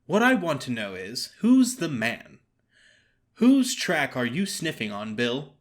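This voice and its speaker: Man acting breezy, needling theatrical fellow, animated. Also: mid-range voice, slow. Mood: teasing, indignant. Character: breezy, cajoling theatrical man, teasing and persistent. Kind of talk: audio drama character voice.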